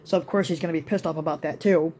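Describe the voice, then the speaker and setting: A man ranting in a rambling vlog to his channel, with many restarts and fillers, his voice still slightly raspy after being sick. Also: on the high side, fairly even intonation, quick pace, warm tone, a little hiss or hum. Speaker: YouTube vlogger. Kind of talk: rambling rant to camera.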